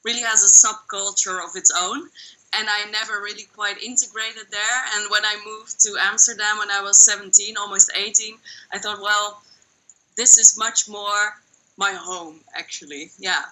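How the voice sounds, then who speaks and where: A woman's voice: medium pitch, steady tone, normal speed, pushed and bright, poor audio. A woman, reflecting on her life in a hesitant, considered way. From Netherlands. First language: Dutch. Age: 20s. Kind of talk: reflective personal chat.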